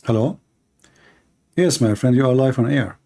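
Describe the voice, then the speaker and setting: A man's voice: mid-range voice, moderate pace, vocal fry, warm tone. A man who speaks Arabic as his first language, hosting a live call-in show on religion and questioning a caller in an insistent, repetitive way. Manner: insistent, questioning, repeats himself. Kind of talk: conversational religious debate with a caller.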